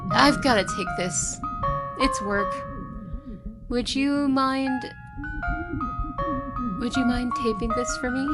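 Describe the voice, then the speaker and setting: Woman playing a slow-talking cheerful Christmas elf roommate. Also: medium pitch. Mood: apologetic. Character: cheerful, Christmas-obsessed female elf passing as human roommate. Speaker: roleplay voice actress. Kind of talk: ASMR roleplay acting.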